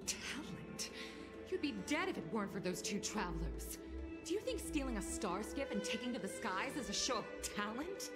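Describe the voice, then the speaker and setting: A woman's voice: fairly high pitch, very animated, moderate pace. A woman voicing a stern, protective mother and formal official in a video game drama. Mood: angry, reproachful. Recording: game audio with music behind. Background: music.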